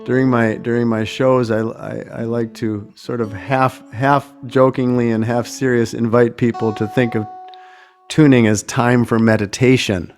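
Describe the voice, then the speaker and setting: A man, a singer-songwriter, talking casually between songs in a halting, self-effacing, apologetic way. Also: mid-range voice, level tone, darkish tone, soft background music. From United States.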